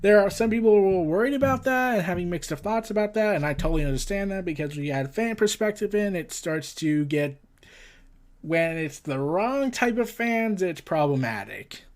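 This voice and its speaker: A man hosting a casual entertainment news roundup, rambling and halting, often tripping over his words and losing his thread. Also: high-pitched, expressive. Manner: scattered, halting, often tongue-tied and losing track. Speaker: host of a comics and entertainment news show.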